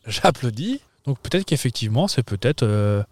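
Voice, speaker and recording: A man's voice: mid-range voice, sing-song, moderate pace, darkish tone, a little hiss or hum. A man co-hosting a podcast outdoors on a town square, chatting casually in a playful, teasing way and laughing often. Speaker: podcast co-host. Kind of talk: casual chat.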